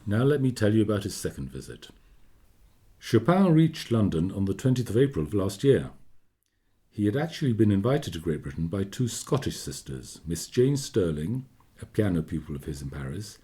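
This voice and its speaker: Radio play: 19th-century gentleman, formal and fond, very low voice, expressive swings in pitch. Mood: fond, courteous. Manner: formal, measured, old-fashioned courtesy. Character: courteous Polish gentleman of 1849, friend of Chopin, reminiscing. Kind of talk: radio drama narration.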